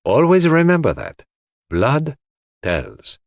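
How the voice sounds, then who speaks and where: A man's voice: mid-range voice, wildly swooping pitch, slow pace, no echo, clean studio sound, pitched above the performer's own voice, heavily acted. A man voicing a fussy, shrewd older detective, precise and theatrical in an audiobook. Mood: deliberate, shrewd. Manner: precise, theatrical, formal phrasing. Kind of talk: audiobook character acting.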